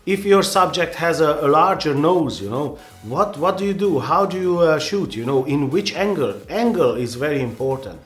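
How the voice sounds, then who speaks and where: A man's voice: on the high side, animated, normal speed, few pauses, roomy sound, faint music behind. A man with a Hungarian accent, a native Hungarian speaker, giving earnest, rambling photography advice with many restarts and "you know"s in a casual vlog.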